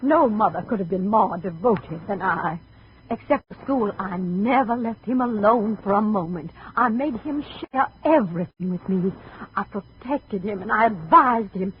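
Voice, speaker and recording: A woman's voice: medium pitch, animated, unhurried, natural voice. A woman playing an anxious, possessive middle-aged mother, fretful and insistent, in 1950s radio drama style. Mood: wounded, fretful. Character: possessive, anxious, self-pitying wealthy mother of grown son. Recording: dull, noisy 1950 radio recording.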